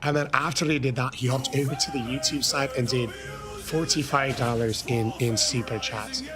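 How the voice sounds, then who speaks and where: An Irish man streaming a video game live, chatting with viewers and thanking them. He is hoarse from losing his voice and gets so excited that he breaks into shouts. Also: mid-range voice, moderate pace, music in the background. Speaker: gaming livestreamer. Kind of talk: casual live-stream chat.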